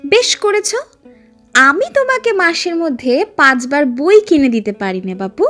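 Woman voicing a high, sharp-tongued scolding aunt. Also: sing-song, unhurried, soft background music, heavily acted. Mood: annoyed sarcasm. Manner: curt, scolding, sarcastic. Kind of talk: audio storybook character voice.

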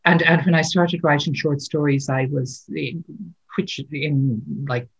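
A woman's voice: deep voice, measured pace, dull audio. A woman in her sixties with an Irish accent answers at an easy, reflective pace. She thinks aloud with plenty of "um"s and restarts. She sounds as if she is on a laptop webcam in a book-lined room at home. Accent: Irish, Dublin. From Ireland. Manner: thoughtful, many fillers and restarts, light laughs. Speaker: author and guest. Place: home study with bookshelves, indoors. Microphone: laptop webcam on a video call.

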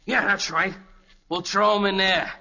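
An actor playing a nervy, slangy gangster lookout in a 1940s radio drama. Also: male voice, high-pitched, steady tone, measured pace, vocal fry, bright, forceful tone, full character voice. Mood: nervy scheming. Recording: noisy, muffled 1940s radio recording.

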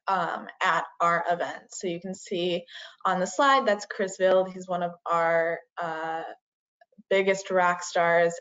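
A woman giving an online presentation, starting many phrases with "uh" and "um". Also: lowish voice. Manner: frequent "uh" and "um" fillers. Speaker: community forestry presenter. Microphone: video call. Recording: dull lo-fi video call audio.

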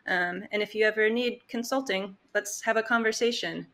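A woman speaks through an earbud mic on a video call from a room at home. Her voice is bright and a little hoarse. She talks thoughtfully, pausing often with fillers. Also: medium pitch. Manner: thoughtful, reflective, many fillers. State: slightly hoarse. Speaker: podcast guest, consultant. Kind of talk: casual interview talk. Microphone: earbud headset on video call.

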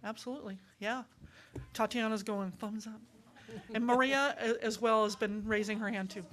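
A woman's voice: fairly high pitch, steady tone, normal speed, background noise. An older woman leading a church town hall Q&A, chatty and upbeat, recorded from a distance in a sanctuary. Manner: chatty and upbeat, laughs often. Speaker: meeting leader at a congregation.

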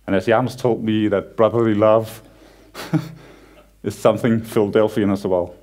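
Danish man, 30s, conference speaker on stage in a cinema hall, easygoing and joking, stumbles a bit. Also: fairly low pitch. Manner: relaxed, self-deprecating humor with frequent halting restarts. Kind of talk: conference talk, casual and joking.

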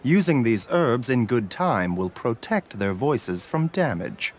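A man voicing a gentle, courteous male herbal doctor in a video game, speaking in polite, measured, formal phrases. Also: medium pitch, very animated, background noise. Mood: calm, courteous. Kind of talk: scripted game dialogue. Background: music.

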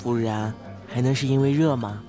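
An actor playing an earnest, oblivious teenage class monitor who talks in a preachy, naive way in an audio drama. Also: male voice, medium pitch, steady tone, normal speed, over music, lightly acted. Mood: innocent, kindly. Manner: preachy, matter-of-fact, naive. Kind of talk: modern audio drama acting.

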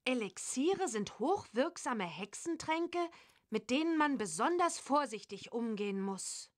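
A woman voicing a curious young witch girl in a children's cartoon adventure game, with clear, expressive storytelling delivery. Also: sits high, measured pace. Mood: instructive.

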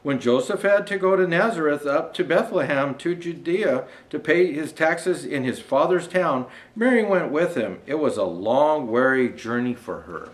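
Older guy doing santa storytime, reading a kids book, kinda high voice, really up and down. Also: expressive, normal speed, few pauses. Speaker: storyteller dressed as Santa Claus. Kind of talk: reading a children's storybook aloud.

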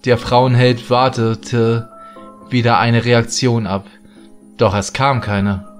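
Male narrator, medium pitch, scripted creepy storytelling, light music bed. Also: level tone, slow. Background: background music.

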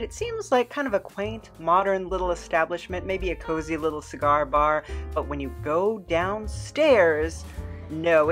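A woman narrating a casual, chatty travelogue as host, with playful, wry, rambling asides. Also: medium pitch. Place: studio voice-over.

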